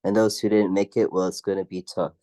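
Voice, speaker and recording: An older man playing a sober shrimp fleet leader in an amateur radio play, measured and matter-of-fact. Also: deep voice, flat delivery, dark tone, natural voice. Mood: grave, resolute.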